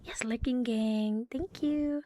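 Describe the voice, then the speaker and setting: A woman streaming ASMR in a soft, breathy, often whispered voice, playful and intimate, close to the microphone. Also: a little high, takes it slowly. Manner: playful, giggly, intimate, lingering on repeated words. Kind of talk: ASMR roleplay with casual rambling to stream viewers.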